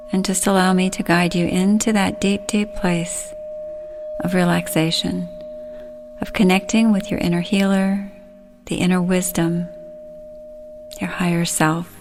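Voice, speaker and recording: A woman leading a guided relaxation, speaking soothingly and gently in short, unhurried phrases. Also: fairly low pitch.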